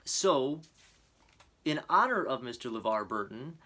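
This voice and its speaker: Guy doing kids storytime, normal pitch, earnest and expressive, measured phrasing. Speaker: storybook reader. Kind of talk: expressive children's story narration and introduction.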